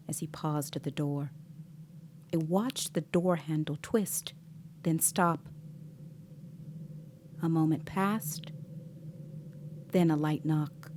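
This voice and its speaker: A woman playing a composed, observant child interviewer, narrating an audio drama in a measured, deliberate way. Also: sits low, background noise. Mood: grave, measured. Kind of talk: scripted dramatic first-person narration. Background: musical scoring.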